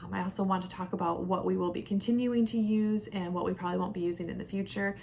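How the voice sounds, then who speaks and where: A woman's voice: mid-range voice, fairly even intonation, fast, vocal fry, darkish tone, slight background noise. A young adult woman talking casually to camera in a product review, chatty and conversational, leaning on key words. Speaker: homeschooling mother and vlogger.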